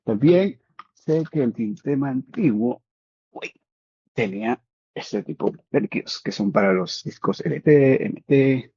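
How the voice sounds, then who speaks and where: A man's voice: medium pitch, animated, a little slow, vocal fry, warm tone, breathy edge, no room sound, highs cut off. A man from Peru with a Peruvian Spanish accent, a dental technician casually hosting a live demo, friendly and laughing often. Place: training academy in Spain.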